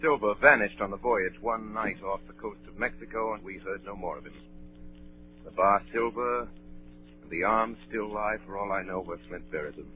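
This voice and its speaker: Actor as deep, grave adventure narrator. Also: male voice, natural voice. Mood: grave, haunted. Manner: grave, measured storytelling. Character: grown man gravely recalling boyhood adventure. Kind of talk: dramatic narration, 1930s radio acting. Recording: tinny 1938 radio recording, faint music.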